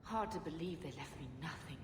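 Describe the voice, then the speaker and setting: A woman's voice: low voice, animated, a little fast, in a large hall, background noise. An actress playing a proud, wistful middle-aged noblewoman in a video game, speaking with earnest dignity. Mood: wounded, chiding.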